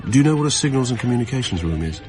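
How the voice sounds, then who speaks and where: A man's voice: mid-range voice, lively intonation, rapid-fire, music in the background, natural voice. An actor playing a sly, condescending male schemer in a radio drama, casual and manipulative in manner. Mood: slyly persuasive. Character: smooth, condescending male schemer, slyly persuasive.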